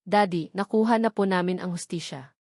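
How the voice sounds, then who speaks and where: A woman's voice: lowish voice, moderate pace, darkish tone, no room sound, studio quality. A woman playing a loving, devoted wife and daughter in an audio drama, speaking tenderly and gratefully.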